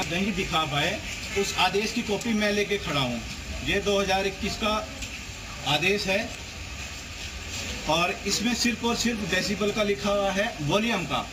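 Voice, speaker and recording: A man giving a spoken public statement of protest to the press. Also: high voice. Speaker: community protester speaking to press. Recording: noisy ambient field recording. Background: clear ambient noise.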